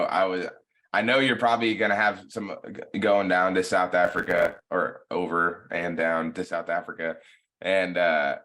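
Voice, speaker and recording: A man's voice: sits low, bright, forceful tone. A man hosting a podcast in a laid-back casual chat, with frequent filler words. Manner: laid-back, lots of "like" and "you know" fillers. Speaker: podcast host.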